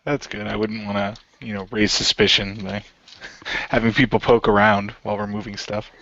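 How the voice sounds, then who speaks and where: A man's voice: on the low side, flat delivery, moderate pace, fairly bright tone, dry recording. A man playing a laid-back, resigned hero in an amateur radio play, speaking in a casual, understated way. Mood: casual, wry. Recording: dull lo-fi voice recording.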